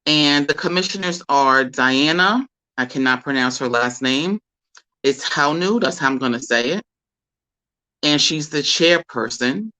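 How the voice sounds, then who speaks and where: An American host with a slightly raspy voice, a bit under the weather, speaking informally and deliberately in a solo livestream commentary. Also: medium pitch, lively intonation, sharp tone, low-quality recording. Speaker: host of a community talk show.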